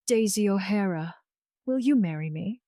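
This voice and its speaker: A woman voicing a gentle, devoted man in love in an audio romance story, tender and sincere. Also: mid-range voice, expressive, normal speed, no room sound, clean studio sound, lightly acted. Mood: earnest proposing.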